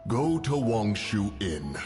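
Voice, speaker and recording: A man's voice: on the low side, sing-song, slow pace, a little mellow. A man voicing an ancient, solemn, wise adeptus beast in a video game, speaking in a stately, archaic and formal way. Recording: long cavernous reverb, faint music behind.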